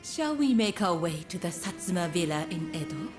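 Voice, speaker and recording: An actress playing a gentle, devoted young woman in a video game cutscene, speaking earnestly and politely. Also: fairly low pitch, sing-song, normal speed, faint music behind. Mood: earnest, relieved.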